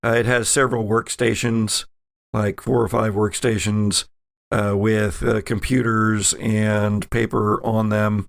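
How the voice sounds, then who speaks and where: A man narrating a tabletop horror game as game master, setting scenes in a halting, deliberate way. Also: fairly low pitch, level tone, vocal fry, no echo, studio quality.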